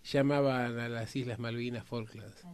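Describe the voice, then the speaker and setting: A man with an Argentine accent giving conversational political and historical commentary, halting and repeating words for emphasis. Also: mid-range voice, fairly even intonation, a little mellow, clear, resonant tone.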